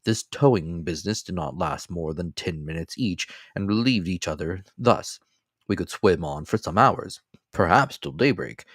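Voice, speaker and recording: A man's voice: deep voice, animated, normal speed, no echo, clean studio sound. A man reading classic fiction as a dramatic, scripted audiobook narration. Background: faint music.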